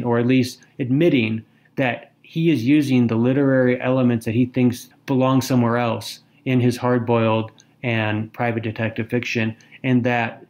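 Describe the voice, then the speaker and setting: A man with a medium-pitched voice speaks as a podcast co-host. He delivers prepared literary remarks in a measured, articulate way, leaning on key words for emphasis. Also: a little mellow.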